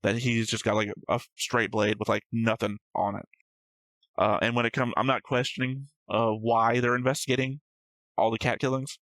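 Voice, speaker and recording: A man's voice: medium pitch, little pitch movement, moderate pace, fairly bright tone, no echo, poor audio. A man hosting a reaction show, reading out notes and discussing them casually in a matter-of-fact, slightly pedantic way.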